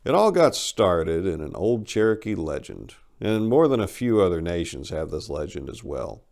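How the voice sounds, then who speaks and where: A man giving an expressive, theatrical reading of a first-person short story as its narrator. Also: low voice, moderate pace, creaky.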